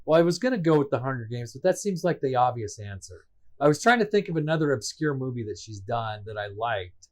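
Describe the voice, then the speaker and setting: A man's voice: mid-range voice, big pitch swings, a little fast. A man co-hosting a casual podcast, self-deprecating and prone to repeating himself, laughing often. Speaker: podcast co-host. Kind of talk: casual chat.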